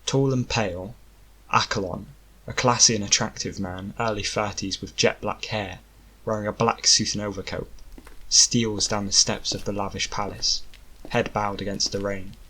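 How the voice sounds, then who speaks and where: A man narrating a scripted fantasy story as an audiobook, in a deliberate, dramatic storytelling manner. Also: lowish voice, steady tone, sharp tone, slight background noise, poor audio.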